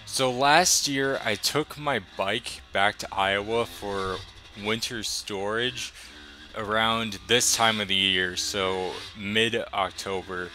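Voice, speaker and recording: Young man, bright relaxed vlog voice-over. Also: lowish voice, slow pace. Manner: relaxed, rambling, enthusiastic. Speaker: motovlogger. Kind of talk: casual voice-over commentary.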